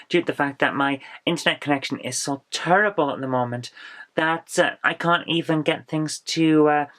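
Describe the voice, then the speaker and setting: A woman with a congested, bunged-up voice from illness, chatting rambly in a casual home vlog with frequent fillers and restarts. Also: deep voice. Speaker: vlogger. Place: small warm home office, indoors.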